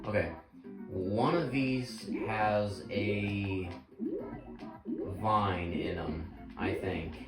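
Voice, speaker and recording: A man with a fairly low voice talks his way through a game in a loose, rambling way, often stopping to correct himself. His voice is wearing out. The room rings with echo, and game music plays clearly behind him. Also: steady tone, drawn-out words. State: losing his voice, clearing throat. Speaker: gaming channel host. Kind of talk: casual game commentary.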